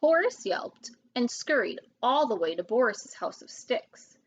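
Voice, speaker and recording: A woman reading a children's story aloud as an expressive narrator. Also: a little high, a little slow, lo-fi sound. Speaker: storybook reader.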